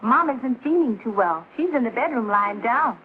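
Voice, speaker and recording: Casting: woman playing young bride-to-be, sweet, animated and high, vintage TV comedy with slightly noisy sound. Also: normal speed, lightly acted. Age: young adult. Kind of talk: 1950s television sitcom acting. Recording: tinny vintage TV soundtrack, faint noise.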